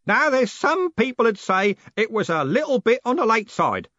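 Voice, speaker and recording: A man voicing a loud, blunt, hearty old male merchant who bellows every line. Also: big pitch swings, normal speed, no echo, highs cut off, exaggerated voice. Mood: booming hearty. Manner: bellowing, booming.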